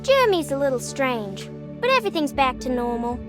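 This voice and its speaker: A woman playing a gentle, eerily serene asylum resident in a horror game. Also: high voice, big pitch swings, fairly quick, over music. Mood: soothing. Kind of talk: cinematic horror game acting.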